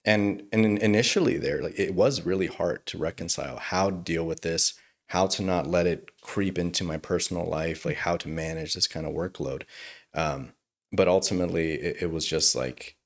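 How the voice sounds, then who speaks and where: A young man with a low voice talks as a guest in a relaxed interview. He speaks earnestly and thoughtfully and breaks into easy laughter. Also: normal speed. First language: English. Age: young adult. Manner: reflective, earnest, laughs easily. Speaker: attorney guest. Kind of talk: casual interview conversation.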